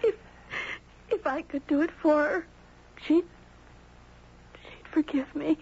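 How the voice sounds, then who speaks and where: A woman playing an earnest, wistful young girl with halting, sincere speech in a 1970s radio drama. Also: high-pitched, fairly even intonation, a little mellow. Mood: plaintive, guilty. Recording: dull 1970s radio broadcast.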